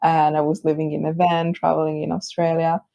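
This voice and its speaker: A woman with an Italian accent whose first language is Italian, chatting as an interview guest, friendly and quick to laugh. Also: low voice, completely flat delivery, gravelly, mellow, no echo, muffled recording. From Italy. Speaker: guest, brand designer. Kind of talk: casual interview chat.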